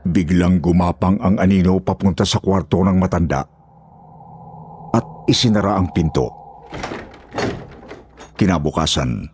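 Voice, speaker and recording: A man's voice: low-pitched, darkish tone, slight background noise. A man reading a scripted horror story as its narrator. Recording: music behind the voice.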